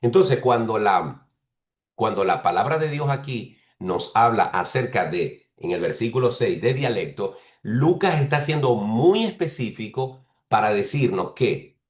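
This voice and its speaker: Low-voiced male preacher in an echoey room. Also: expressive, unhurried. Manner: explains patiently, repeats and rephrases points; speaks bilingually of Spanish and English. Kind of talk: expository sermon teaching.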